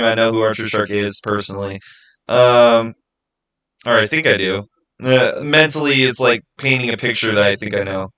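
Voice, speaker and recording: A man hosting a livestream, answering viewers in casual, halting speech and thinking out loud. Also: medium pitch, smooth, rough recording. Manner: halting, thinking aloud with repeated phrases. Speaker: livestream host.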